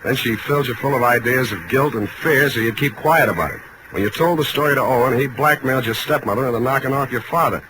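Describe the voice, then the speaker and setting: An actor playing a blunt, tough, wisecracking private detective in terse 1940s radio drama style, on a noisy old recording. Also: male voice, mid-range voice, fast, close to an everyday voice. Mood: firm, probing. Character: hard-boiled, blunt private detective, a grown man.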